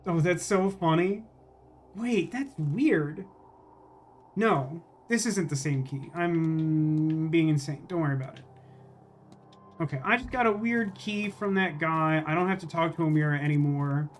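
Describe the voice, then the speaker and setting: Casual game streamer thinking out loud. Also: mid-range voice, a little slow, slight background noise. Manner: thinks out loud, joking asides. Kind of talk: casual gameplay commentary, reading dialogue aloud.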